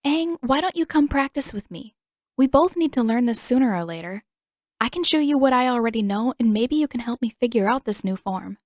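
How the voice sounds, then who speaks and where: A woman's voice: fairly high pitch, expressive, fairly quick, a little mellow, no room sound, clean studio sound, voice pushed up, lightly acted. A woman voicing a determined, earnest teenage girl in a read-aloud fan story. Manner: earnest, insistent.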